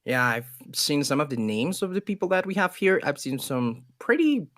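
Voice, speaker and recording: A man's voice: a little high, sing-song, moderate pace, fairly bright tone, no room sound, clean studio sound. A man from Ecuador with a Spanish accent, a native Spanish speaker, casting a livestream in a casual, improvised chatty style. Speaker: esports commentator.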